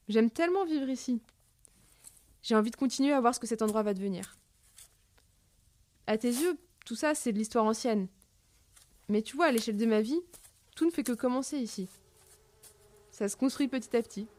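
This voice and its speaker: A woman's voice: on the high side, animated, moderate pace, long pauses, a little mellow, close to an everyday voice. A woman playing a long-lived gynoid botanist, reminiscing in a conversational, wondering way in an audio drama. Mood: joyful, tender. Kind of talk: intimate monologue in a fiction podcast.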